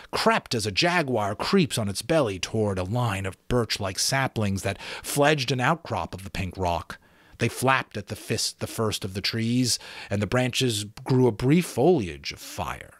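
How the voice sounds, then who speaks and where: Expressive man narrating, dry sound. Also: on the low side, no room sound. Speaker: amateur audiobook narrator. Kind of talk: dramatic literary narration.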